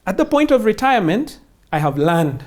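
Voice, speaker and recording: A woman's voice: medium pitch, sing-song, normal speed, a little mellow. A woman explains things in an interview as a finance instructor, emphatic and teacherly, often posing questions and answering them. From Kenya or wider Africa.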